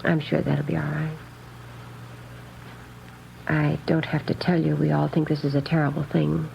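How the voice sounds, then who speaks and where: An actress playing an earnest, naive and very proper nun in a 1950s radio drama, speaking in careful, precise phrases. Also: female voice, sits low, flat delivery, moderate pace, gravelly. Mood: eager, proper. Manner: prim, proper, carefully enunciated. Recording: dull old radio recording, faint noise.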